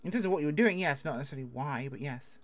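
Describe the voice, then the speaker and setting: A man acts out a public defender in an online game interrogation. The voice is a bit high and moves quickly. It interjects in short, brisk phrases, shielding the client. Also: lively intonation. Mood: brisk, protective. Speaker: role-player in an online game. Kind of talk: improvised roleplay interrogation.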